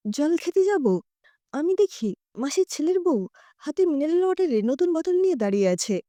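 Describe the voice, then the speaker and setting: A woman narrating a story in an expressive first-person storytelling style. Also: high voice, normal speed, dry recording.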